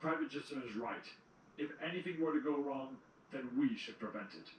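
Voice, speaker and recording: A man's voice: mid-range voice, fairly quick, natural voice. A man playing a loyal military general to an evil queen in an audio drama, formal and deferential. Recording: roomy, distant playback with faint noise.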